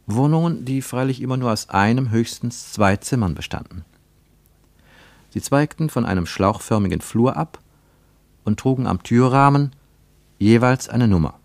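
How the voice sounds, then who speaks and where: A man reading scripted literary narration for a radio play in a measured, deliberate way with emphatic phrasing. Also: mid-range voice, lively intonation, no echo.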